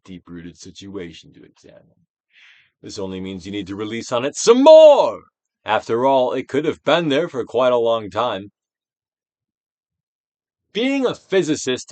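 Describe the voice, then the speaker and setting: A man giving a dramatic, theatrical reading of a self-help book, moving between hushed near-whispers and emphatic projection, with deliberate pauses. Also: lowish voice, no echo. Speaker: audiobook reader, actor.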